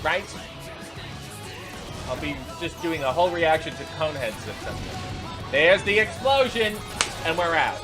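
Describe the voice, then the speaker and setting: A man's voice: a little high, lively intonation, moderate pace, long pauses, over music. A man hosting a reaction video, giving unscripted running commentary in an exasperated, sarcastic, incredulous way.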